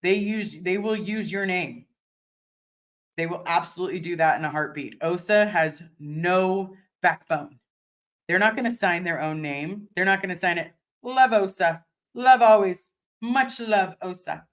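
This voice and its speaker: A woman with a deep voice, slightly hoarse today, hosting a casual livestream and answering viewer chat in a rambling way, often restarting and repeating words. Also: measured pace.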